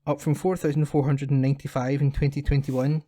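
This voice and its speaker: A man's voice: mid-range voice, flat delivery, brisk, dark tone, no room sound. A man reading scripted local news as a volunteer for an audio newspaper. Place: at home, dry room.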